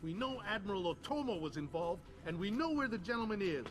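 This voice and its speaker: An actor playing a hawkish, impatient military official in a video game, blunt and forceful. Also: male voice, sits high, expressive, fairly quick, music in the background, poor audio. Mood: angry, urgent. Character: hawkish, impatient male American military official demanding action. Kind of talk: video game cutscene acting.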